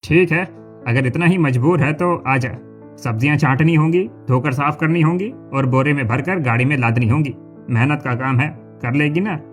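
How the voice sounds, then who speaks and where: A man voicing a gruff, hard-bargaining farm owner in an animated story, bossy and brusque. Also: on the high side, normal speed, soft background music, close to an everyday voice.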